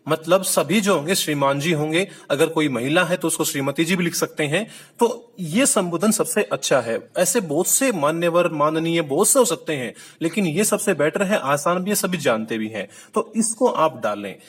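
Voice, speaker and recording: A man's voice: fairly high pitch, fairly bright tone. A man giving a video lesson, explaining step by step, repeating key points and asking the viewer questions. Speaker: Hindi teacher. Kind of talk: classroom-style video lesson.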